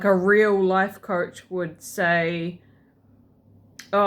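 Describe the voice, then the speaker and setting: A woman with a New Zealand accent talks slowly and casually to her camera, pausing often with ums and likes. Her pitch sits mid-range for a woman, and she drifts between thoughts with frequent restarts. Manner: hesitant, many fillers and restarts. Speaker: vlogger. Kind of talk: casual rambling vlog.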